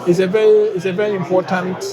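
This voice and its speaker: A Ghanaian man with a fairly high voice speaks in a measured way, pausing often between thoughts. Loud chatter fills the trade conference floor behind him. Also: little pitch movement, dull audio. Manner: measured, with frequent hesitations. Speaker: bank guarantees unit head. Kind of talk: conference interview.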